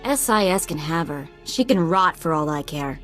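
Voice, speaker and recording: A woman's voice: low-pitched, expressive, normal speed, a bit bright, faint music behind. An actress playing a sassy young female hacker sidekick in a video game, with a wry, casual delivery. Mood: cold sarcasm.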